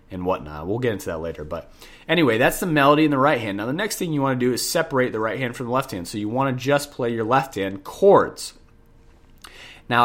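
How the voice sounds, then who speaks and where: A man's voice: lowish voice, fairly bright tone. Stuffy-nosed man teaching jazz piano. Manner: chatty, repeats points for the listener, self-corrects. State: stuffed up nose. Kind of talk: casual spoken lesson.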